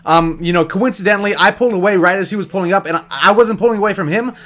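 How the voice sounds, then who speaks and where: A man's voice: high-pitched, racing, fairly bright tone. An American man telling a casual story in a rambling, teasing, joking way, like a vlogger.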